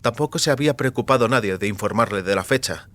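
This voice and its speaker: A man's voice: medium pitch, quick pace, a bit bright. A man narrates a fantasy audiobook. His voice sits in an ordinary middle register, and he delivers the prose as scripted storytelling.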